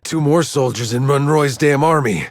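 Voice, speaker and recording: A man voicing a gruff, battle-weary soldier in a video game, sardonic and hard-bitten, with terse delivery. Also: mid-range voice, normal speed, dry recording, studio quality, lightly acted. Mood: bitter. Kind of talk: scripted game dialogue.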